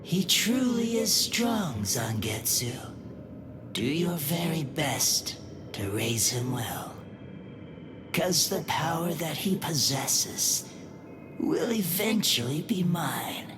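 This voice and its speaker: A woman's voice: low-pitched, sing-song, takes it slowly, noisy background, heavily acted. A woman voicing a young inner spirit in an anime video game, casual and teasing with a sly, scheming streak.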